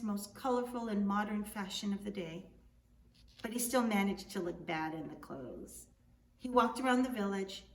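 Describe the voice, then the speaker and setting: Woman narrating a story in an echoey room. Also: mid-range voice. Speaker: author reading their book. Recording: roomy, distant mic, faint noise.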